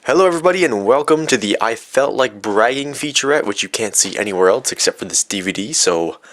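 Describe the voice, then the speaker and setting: A young man with a low, somewhat raspy voice, worn down by a cold. He talks off the cuff about himself, rambling and poking fun at himself. Plenty of ums and uhs along the way. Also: expressive, quick pace, a bit bright. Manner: rambling, filler-heavy, self-mocking. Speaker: video creator. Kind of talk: unscripted vlog.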